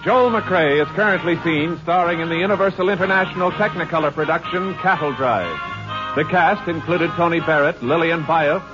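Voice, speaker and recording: A man announcing credits on an old radio show in a formal, measured way. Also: a little high. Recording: dull old radio recording with music.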